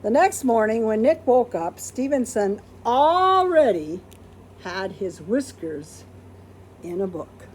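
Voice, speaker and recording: A woman's voice: fairly high pitch, sing-song, takes it slowly, a little hiss or hum. A woman reading a children's picture book aloud expressively, acting out quoted words and putting questions to listeners. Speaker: storybook reader.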